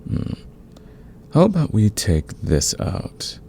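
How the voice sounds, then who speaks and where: A man playing a smitten, dominant fantasy villain in a romantic ASMR roleplay, speaking in an intimate, teasing, confident way with a gravelly, husky voice. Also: lowish voice, wildly swooping pitch, slow, dark tone, a little hiss or hum, full character voice. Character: smitten male fantasy villain, patient, dominant, smoothly seductive.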